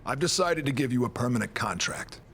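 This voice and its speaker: A man's voice: mid-range voice, lively intonation, a little fast, a bit bright, husky. An actor playing a stern, exasperated male authority figure in a wrestling video game, curt and authoritative. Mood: grudging, curt. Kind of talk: video game cutscene acting.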